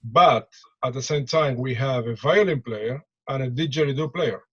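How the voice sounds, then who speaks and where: A man with an Argentine Spanish accent talking casually in an interview, repeating words in halting non-native English. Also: mid-range voice, a bit bright, breathy edge, muffled recording. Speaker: musician, percussionist and drummer interviewed.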